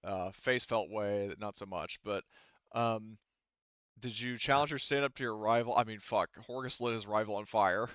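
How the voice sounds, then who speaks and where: A man's voice: lowish voice, moderate pace, fairly bright tone, smooth, no room sound, dull audio. A man casually running a tabletop sci-fi game as game master, talking informally and swearing freely. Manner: informal, profane.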